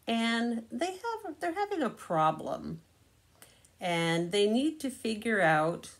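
A woman in her sixties reading a picture book aloud to young children, deliberate and expressive, pausing to explain. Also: mid-range voice, vocal fry. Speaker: children's storybook reader. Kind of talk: read-aloud storytelling to young children with asides. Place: small living room, indoors. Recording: noisy amateur home recording.

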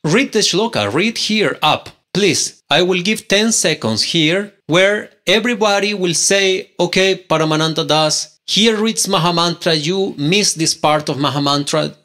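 A man's voice: sits high, lively intonation, unhurried, bright, forceful tone. A man in his thirties with a Spanish accent, a native Spanish speaker teaching scripture online, speaking emphatically and indignantly with repeated rhetorical questions into a close microphone. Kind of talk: online scripture study, argumentative. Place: indoor room, screen-share with webcam. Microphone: pink handheld mic on stand, close.

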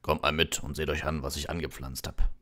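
A man's voice: extremely low pitch, little pitch movement, brisk, a bit bright. A man voicing a big, friendly groundskeeper in a storybook, folksy, hearty and teasing.

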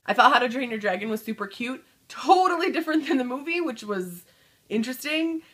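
Thirtysomething lady chatting to her webcam at home, upbeat, kinda high voice, trails off a lot. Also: expressive, brisk, slightly rough. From Michigan. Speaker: book vlogger. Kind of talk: casual chat to camera. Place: small room indoors at home.

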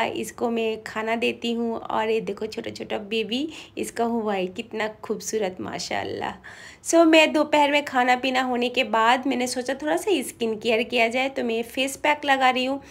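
A woman's voice: a little high, vocal fry. A woman in her twenties vlogging her day at home, chatty and homely, a little feverish and coughing. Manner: chatty and homely, mixing in English words. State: coughing a bit, slightly feverish. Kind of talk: casual chat to camera. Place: bedroom at home.

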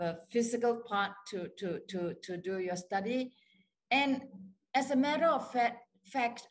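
A woman's voice: medium pitch, low-quality recording. An older woman on a video call, answering interview questions thoughtfully with many restarts and fillers. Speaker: guest lecturer. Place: bright office, indoors.

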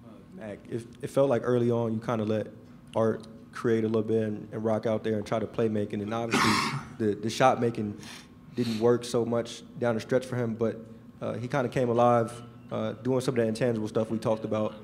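A reporter asks a long, halting question, tripping over fillers. His voice stays flat and even. Faint room noise sits behind him. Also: lowish voice. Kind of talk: press question.

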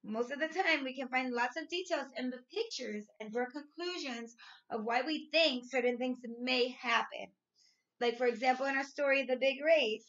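A woman in her thirties, a primary school teacher reading and explaining to young children in an expressive, clearly enunciated way. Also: sits high, dull audio. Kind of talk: read-aloud story and lesson for young children. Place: small furnished room at home, indoors.

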